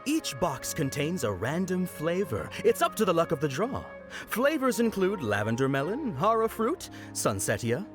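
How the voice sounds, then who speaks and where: A cheery theatrical knight from a video game, hugely swoopy voice, pompous but friendly, light music behind. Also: male voice, a little high, huge pitch swings, normal speed. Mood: playful. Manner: pompous proclamations turning to friendly patter. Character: jolly festival knight performer, theatrically commanding children.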